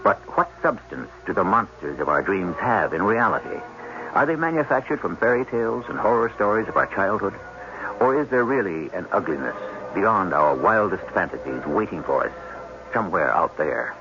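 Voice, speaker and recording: Man, deep voice, ominous radio host, theatrical narration, expressive pitch, music behind, muffled old broadcast. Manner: ominous, theatrical, deliberate phrasing. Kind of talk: dramatic scripted narration.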